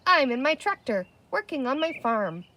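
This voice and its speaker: A woman's voice: high voice, sing-song, moderate pace, background noise. A woman voicing a playful, eager little boy in a storybook, with a simple childlike manner.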